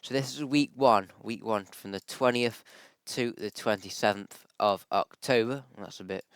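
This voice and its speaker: A man's voice: lowish voice, normal speed, clean tone, dry recording. Man with a cold, forecasting. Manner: chatty, lots of ums and likes, thinks aloud. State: has a bad cold, voice a little off. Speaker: weather forecaster. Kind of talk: casual forecast vlog over screen-shared charts.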